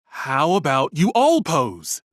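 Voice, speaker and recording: Swooping, chatty street photographer. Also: male voice, a little high, wildly swooping pitch, slow, fairly bright tone, dry recording, clean studio sound, full character voice. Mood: cheerful, teasing. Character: chatty, teasing street photographer snapping couples. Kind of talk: video game character dialogue.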